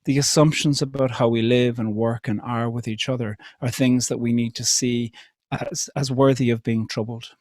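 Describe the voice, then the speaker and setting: An Irish man speaks at a calm, considered pace, posing question after question with gentle hesitations between them. His voice sits at an everyday middle pitch. The sound is dry and close, with no room echo. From Ireland. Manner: measured, thoughtful, many rhetorical questions and soft hesitations. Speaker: speaker at an interfaith event. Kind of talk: reflective public talk.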